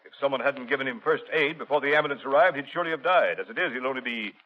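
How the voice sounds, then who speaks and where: An actor playing a blunt, exasperated police commissioner with an authoritative manner in a 1930s radio drama. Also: male voice, mid-range voice, very fast, close to an everyday voice. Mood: irritated. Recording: tinny, phone-like 1930s radio recording.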